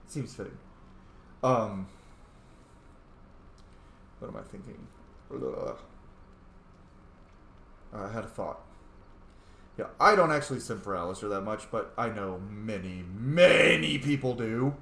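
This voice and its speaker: A man's voice: fairly low pitch, animated, slow pace, pauses often, a bit bright. A man giving casual, playful and opinionated commentary while roleplaying through a video game, swearing freely. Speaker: game streamer. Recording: roomy echo, clear background noise.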